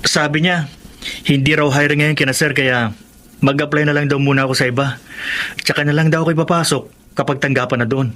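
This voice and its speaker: Man acting an earnest, higher-voiced college lad. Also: steady tone, a little fast, a bit bright, a little hiss or hum, close to an everyday voice. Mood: disappointed, suspicious. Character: earnest young college student, hopeful older brother. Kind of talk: Filipino radio drama acting.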